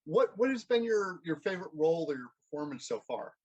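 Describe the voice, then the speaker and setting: A man hosting a casual interview, asking questions in a halting way and repeating words as he forms them. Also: on the high side, sing-song, breathy edge, dull audio. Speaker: interviewer, host.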